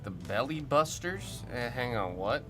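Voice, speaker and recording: A man's voice: medium pitch, animated, a little slow. A young man hosting a livestream, chatting loosely and puzzling aloud over viewer comments. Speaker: livestream host of card breaks. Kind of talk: casual chat reacting to viewer comments. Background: music.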